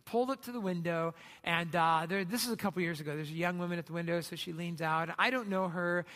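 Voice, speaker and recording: A man's voice: sits high, fast talker, sharp tone. A man preaching a sermon in an informal, conversational teaching manner, explaining step by step and checking in with listeners. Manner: informal, explanatory, often checks in with "right?" and "you know". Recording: reverberant hall sound.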